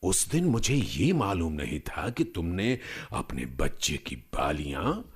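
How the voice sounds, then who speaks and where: An actor playing a benevolent, pious elderly village grandfather in a Hindi radio drama, speaking in a measured, blessing manner. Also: deep voice, wildly swooping pitch, husky, full character voice. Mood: gentle, approving. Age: older. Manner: slow, measured, blessing-giving.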